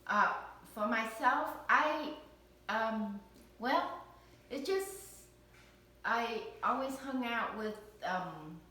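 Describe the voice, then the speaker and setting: A woman's voice: fairly high pitch, animated, very slow, pushed and bright. A woman from Vietnam being interviewed as a parent, speaking hesitantly with many fillers and restarts. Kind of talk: casual recorded interview. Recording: echoey room, distant mic.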